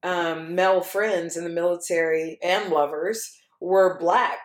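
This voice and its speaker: A woman in casual podcast conversation, talking emphatically and quickly as she argues a point. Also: on the low side, steady tone, reverberant.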